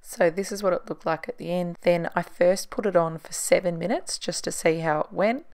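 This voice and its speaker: A woman's voice: fairly low pitch, normal speed, darkish tone, soft background music. A woman in her thirties, chatting casually in a voice-over about what she cooked, laughing at her own shortcuts. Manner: chatty, self-deprecating, laughs at herself. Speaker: cooking vlogger.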